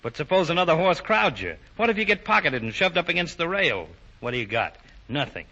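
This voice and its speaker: An actor playing a sly, coolly menacing crooked horse owner in a 1940 radio drama, with insinuating, slangy tough talk. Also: male voice, on the high side, big pitch swings, fairly quick, sharp tone, full character voice. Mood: sly, persuasive. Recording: dull vintage 1940 radio recording.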